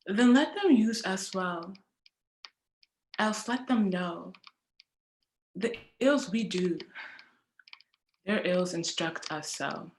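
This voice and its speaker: A woman's voice: mid-range voice, animated, slow, long pauses. A woman playing a defiant, questioning Shakespearean wife in a classical stage monologue. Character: wronged Shakespearean wife, defiant and questioning about unfaithful husbands. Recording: echoey room, noisy with keyboard clicks.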